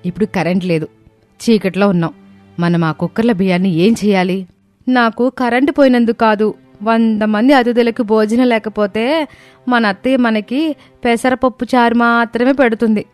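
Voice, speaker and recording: A woman's voice: a little high, fairly even intonation, normal speed, soft background music. A woman reading the lines of a family story, voicing the daughters-in-law and the mother-in-law in turn. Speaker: storyteller voicing all characters.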